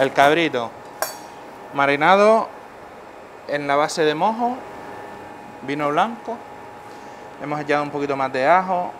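A middle-aged man, a chef, walking through a dish step by step in a professional kitchen, often checking in with his listener. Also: on the high side. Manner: explains steps, checks in with "vale". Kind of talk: cooking demonstration. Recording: noisy kitchen ambience.

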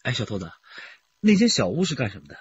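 A measured, probing detective. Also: male voice, medium pitch, big pitch swings, breathy edge, dry recording. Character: steady male detective, probing questions. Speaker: police detective. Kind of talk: broadcast radio drama acting. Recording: dull lo-fi broadcast audio.

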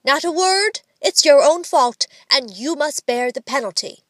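Woman acting bossy, high-pitched little boy playing stern father. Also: animated, a little slow, fairly bright tone, dry recording. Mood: stern, pompous. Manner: pompous, emphatic scolding.

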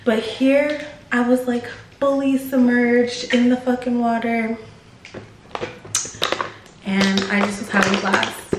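A young woman vlogs into a phone held at arm's length, chatting loosely and dropping curse words between every "like." Her voice sits a bit high and bounces off the hard walls of a room. A steady background noise runs under her. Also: takes it slowly, pauses often, echoey room. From United States. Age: young adult. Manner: chatty, swears freely, says "like" a lot. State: tired. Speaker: travel vlogger. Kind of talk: casual vlog to camera. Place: indoors in a vacation house. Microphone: phone camera at arm's length.

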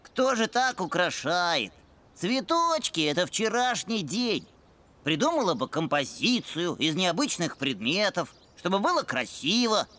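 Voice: mid-range voice, sing-song, a little slow, bright, forceful tone. A performer voicing a bookish, stubborn know-it-all boy puppy in a cartoon, talking in a pedantic, condescending way. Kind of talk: animated cartoon voice acting.

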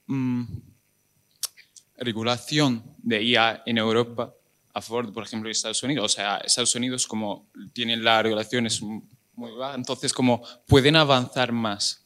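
Man, non-native speaker, foreign accent, bright forceful tone, middle pitch, polite hesitant audience question. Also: fairly even intonation, moderate pace. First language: not Spanish. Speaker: audience member asking a question. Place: auditorium.

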